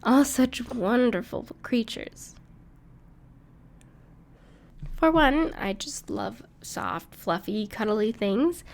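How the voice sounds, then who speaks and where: A woman playing a gentle, bashful dragon in human form who loves cuddly things, speaking tenderly and reassuringly in an intimate audio roleplay. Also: mid-range voice. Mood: proud, delighted. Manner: tender, reassuring, playful, slightly hesitant. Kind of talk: soft-spoken intimate audio roleplay to the listener.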